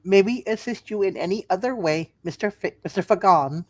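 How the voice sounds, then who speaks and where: A man voicing a polite, dutiful comic-book superheroine in a formal, measured way. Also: sits high, no echo. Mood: polite, earnest.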